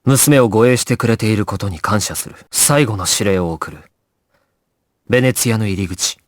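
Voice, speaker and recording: An actor playing a calm, commanding young gangster squad leader in an anime video game, grave and decisive, giving clipped orders. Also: male voice, lowish voice, normal speed, dry recording, clean studio sound, natural voice. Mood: measured, reading orders.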